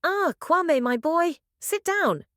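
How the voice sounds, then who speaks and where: A man's voice: very high voice, animated, slow pace, a bit bright, no room sound, voice pushed up, full character voice. Storybook villain boss, male, older, coldly manipulative and commanding, acted by a woman; close, dry sound. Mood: falsely genial. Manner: patronising, commanding.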